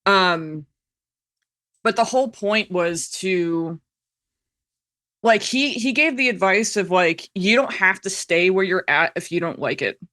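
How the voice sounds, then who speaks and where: A woman's voice: on the low side, expressive, measured pace, a bit bright. An American woman in her thirties telling personal stories casually as a podcast guest, candid and emphatic, often acting out quotes. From United States. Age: 30s. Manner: candid, chatty, emphatic, self-quoting. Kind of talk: casual personal storytelling in conversation.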